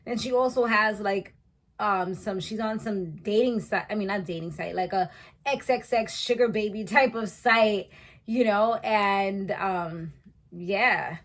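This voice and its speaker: A woman's voice: mid-range voice, measured pace, fairly bright tone. A woman in her thirties casually recapping a reality show, chatty and slangy, laughing at her own asides. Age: 30s.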